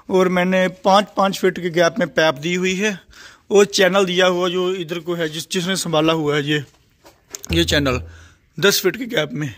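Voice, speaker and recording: High-voiced Punjabi man touring his poultry shed. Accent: Punjabi-tinged Hindi. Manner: plain, repetitive, addresses viewer directly. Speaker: poultry farmer giving a shed tour. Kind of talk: casual walk-through explanation. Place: large open-sided poultry shed under construction. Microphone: phone camera held while walking.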